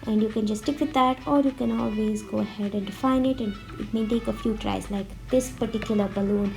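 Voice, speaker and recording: A woman with a slightly sick-sounding voice, explaining a drawing tutorial step by step in a friendly, relaxed way. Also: medium pitch, brisk, vocal fry. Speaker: art tutorial host. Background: faint background music.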